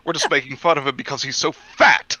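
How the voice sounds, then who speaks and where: Male banter partner, animated and sharp-voiced, blunt crude humor, casual, slightly muffled lo-fi mic. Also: medium pitch, fairly quick. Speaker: guest commentator on a comedy reading show.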